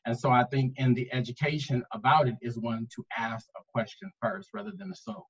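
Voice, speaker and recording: A man speaking earnestly as a panelist in a webinar discussion, explaining at length with pauses. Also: mid-range voice, creaky, slightly rough, muffled recording. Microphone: video call.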